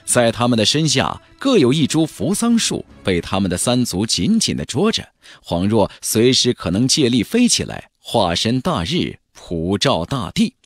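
A man narrating a fantasy audiobook in a theatrical, expressive storytelling manner. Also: mid-range voice, normal speed, no echo. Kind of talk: dramatic scripted narration of a fantasy novel. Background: background music.

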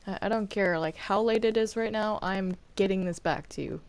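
A woman chatting casually and a little haltingly while playing a game with friends. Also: medium pitch, smooth. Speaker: player in a Minecraft roleplay group. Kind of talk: casual gaming chat.

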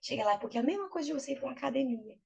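A woman's voice: high voice, very fast, vocal fry, muffled recording, slight background noise. A young adult woman with a Brazilian accent, an English teacher explaining informally on a video call, often posing rhetorical questions and acting out examples. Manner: animated, uses rhetorical questions and acted-out examples. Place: small plain room indoors. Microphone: video call, laptop close up.

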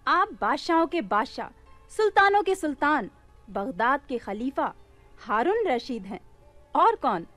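Radio drama: obedient maidservant, deferential and melodious in pitch, high voice. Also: female voice, very animated, measured pace, a bit bright, soft background music, full character voice. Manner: courtly, deferential. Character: obedient, flattering palace maidservant. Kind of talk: radio play acting.

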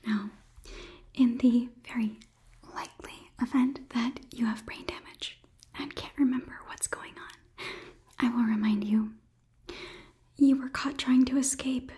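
Slow, whispering young woman in ASMR roleplay. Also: a little high, steady tone. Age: 20s. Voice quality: soft, breathy whisper. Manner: formal, measured, deliberate phrasing. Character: polite, condescending robot overlord examining a human. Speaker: ASMR performer. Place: small indoor studio set. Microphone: close microphone, off camera.

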